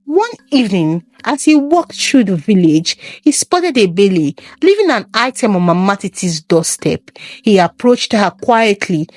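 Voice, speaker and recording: A woman's voice: mid-range voice, big pitch swings, measured pace, a little mellow, no echo. A woman reading a scripted folktale as its storyteller. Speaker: storyteller, narrator.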